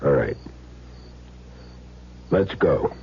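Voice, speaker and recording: Very deep, sardonic radio-drama hitman. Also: lively intonation, vocal fry, heavily acted. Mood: cold, questioning. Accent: American. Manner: clipped, sardonic, deliberate tough-guy delivery. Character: cool, menacing hired hitman, sardonic and controlled. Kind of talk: 1970s radio drama acting. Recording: muffled, hissy 1970s radio broadcast recording.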